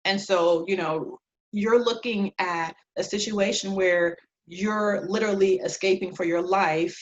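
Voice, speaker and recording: A woman in her thirties from Liberia answers interview questions conversationally as a guest, reflective and halting, often pausing between phrases. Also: on the low side, dull audio. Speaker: interview guest, clinical social worker.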